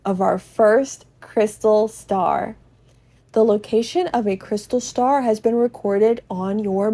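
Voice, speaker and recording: A woman hosting a game podcast, narrating play dramatically with drawn-out, descriptive phrasing and a sense of wonder. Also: a little high, dark tone. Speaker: podcast host playing a video game.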